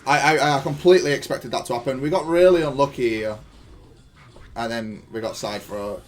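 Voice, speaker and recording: A man with a mid-pitched voice talks through his own fighting-game match. His delivery is informal and matter-of-fact, like a player reviewing a replay for viewers. He is openly self-critical as he analyses the play. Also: moderate pace. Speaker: gaming content creator reviewing own match. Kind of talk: casual gameplay analysis commentary.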